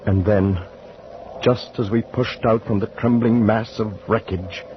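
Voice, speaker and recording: A man narrating in measured, grave tones for a 1940s radio drama, on a muffled old radio recording. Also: lowish voice, fairly even intonation, noisy background.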